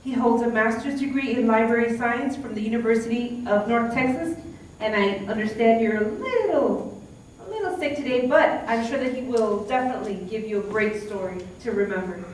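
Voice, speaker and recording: A woman's voice: fairly high pitch, a little fast, a little mellow. A woman hosting an event, formally reading out a speaker's introduction. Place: lecture hall. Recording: echoey hall, distant, faint hiss.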